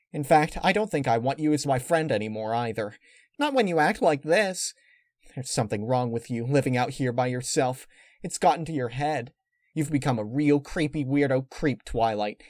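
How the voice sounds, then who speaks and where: Bubbly young mare played by a male reader, pitched well up, childish and defiant, for a dark storybook reading, close dry sound. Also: no room sound, lightly acted. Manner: childlike, exclaiming, indignant. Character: bubbly, naive, defiant young female cartoon pony.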